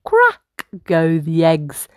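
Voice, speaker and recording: A woman reading a children's picture book aloud as storytime host, with dramatic phrasing and drawn-out words. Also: deep voice, huge pitch swings, no room sound, clean studio sound.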